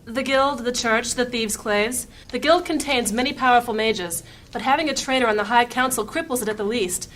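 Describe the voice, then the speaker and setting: A woman playing a serious, knowledgeable adviser in a fantasy audio drama, explaining in measured phrases. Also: on the high side, fairly bright tone, natural voice. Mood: grave. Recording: faint background noise.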